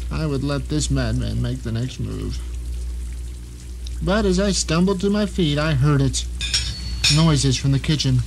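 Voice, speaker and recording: Man acting a high-pitched, paranoid blind captive. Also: noisy background. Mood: anxious, suspicious. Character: blind, paranoid, arrogant middle-aged man held captive at home. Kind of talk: dramatic first-person audio drama narration.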